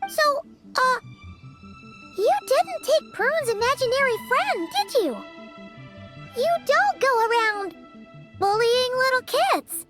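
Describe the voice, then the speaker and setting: A woman voicing a small, excitable, childlike fairy companion in a video game, chatty and exclamatory. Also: extremely high pitch, takes it slowly, long pauses, heavily acted. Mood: inquisitive, chatty. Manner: exclamatory, refers to herself in third person. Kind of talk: video game cutscene acting. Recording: music playing behind the voice.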